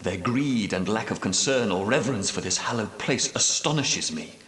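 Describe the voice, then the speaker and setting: An actor playing the solemn, pious leader of ancient Grail knights in a video game, speaking in a grave, portentous, formal manner. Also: male voice, lowish voice, expressive, fairly bright tone. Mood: brooding. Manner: grave, portentous, measured, preaching. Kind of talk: scripted video game dialogue, archaic formal speech. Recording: echoey hall with long reverb.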